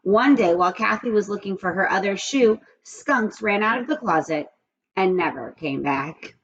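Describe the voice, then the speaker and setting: A woman's voice: mid-range voice, expressive, normal speed, highs cut off, a little hiss or hum. A woman reading a children's storybook aloud, expressively and with asides, speaking straight to young listeners.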